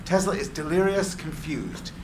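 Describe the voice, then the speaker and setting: A man's voice: fairly high pitch, very animated, normal speed. Male screenplay narrator in echoey room. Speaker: narrator of stage directions. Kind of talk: scripted narration. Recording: echoey room with clear background noise.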